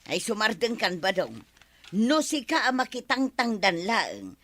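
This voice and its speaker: A woman's voice: mid-range voice, big pitch swings, a little slow, a bit bright, no echo. A woman playing a scolding village peacemaker in a comedy radio drama.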